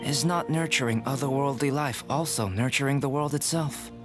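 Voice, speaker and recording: A man playing a calm young alchemist scholar in an anime-style video game, speaking in a measured, formal, reflective way. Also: medium pitch, music in the background, close to an everyday voice. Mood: explanatory.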